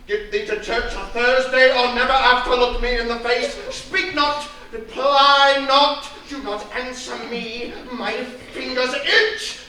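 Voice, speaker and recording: A man acting a furious noble father on stage, his voice sitting very high and bright, lines drawn out slowly between bursts of shouting. The sound is distant and very echoey, caught from far across a theatre with faint noise. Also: fairly even intonation, heavily acted. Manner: swings from low menace to shouting tirades. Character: stern, raging aristocratic father, middle-aged man. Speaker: stage actor.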